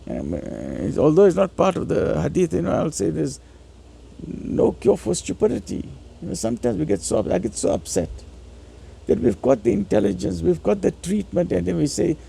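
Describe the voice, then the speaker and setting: Male religious lecturer, husky and heavily creaky, emphatic, informal teaching style that hammers points by repetition. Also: high-pitched, wildly swooping pitch, normal speed, mellow, low-quality recording. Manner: didactic, emphatic, conversational with repeated phrases.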